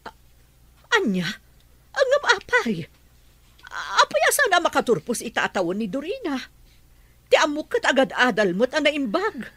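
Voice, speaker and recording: A woman's voice: high-pitched, wildly swooping pitch, normal speed, pauses often, a bit bright, dry recording, full character voice. An actress playing an anxious, middle-aged mother in an emotional radio drama. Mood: alarmed, upset. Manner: emotional, fretful. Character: anxious middle-aged mother, fretting over her wayward daughter.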